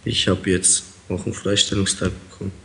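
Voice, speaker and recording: Young man, low flat delivery, hesitant interviewee with frequent fillers. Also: fairly quick, gravelly, poor audio. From Kazakhstan. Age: young adult. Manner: hesitant, many fillers, calm and plain. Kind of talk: interview answers.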